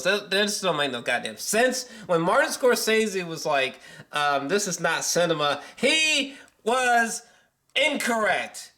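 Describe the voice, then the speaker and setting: Man giving a rambling, excitable movie reaction. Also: high-pitched. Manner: excitable, emphatic, repeats phrases; rambling, halting, frequent swearing. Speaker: film review vlogger. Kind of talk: unscripted, casual movie reaction talk.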